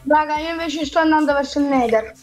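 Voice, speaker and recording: A woman's voice: sits high, quick pace. A woman with an Italian accent talking casually in voice chat while playing a game. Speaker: fellow player. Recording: faint music behind.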